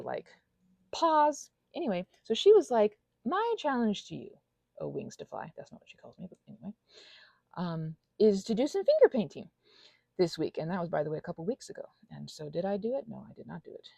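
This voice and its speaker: A little female goblin , acted by a woman, rambles through an intimate ASMR monologue in a soft, dry voice with some creak. She is anxious and self-deprecating, breaking off mid-thought to soothe herself, her pitch wandering freely up and down. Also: no room sound. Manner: rambling, halting, self-interrupting, self-soothing asides.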